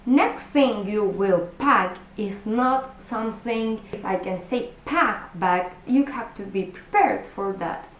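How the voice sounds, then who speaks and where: Slow-talking Argentine woman in an echoey room. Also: medium pitch. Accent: Argentine Spanish. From Argentina, Buenos Aires. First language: Spanish. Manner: chatty, restarts and self-corrections, direct address to viewers. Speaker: cruise vlogger. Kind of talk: casual packing-tips vlog.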